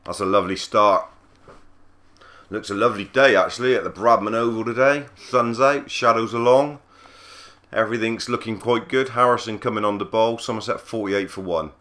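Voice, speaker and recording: A man with a cold, husky and croaky, giving easygoing play-by-play commentary on a cricket video game. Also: on the low side, steady tone, moderate pace, fairly bright tone. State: hoarse from a cold. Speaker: gaming channel host.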